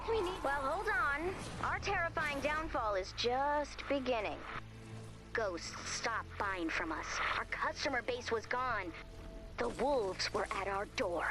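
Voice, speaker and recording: Theatrical, lively saleswoman storyteller. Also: fairly high pitch, slow, poor audio. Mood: ominous, persuasive. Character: theatrical woman pitching her clothing business as horror. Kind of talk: video game character voice acting. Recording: music behind the voice.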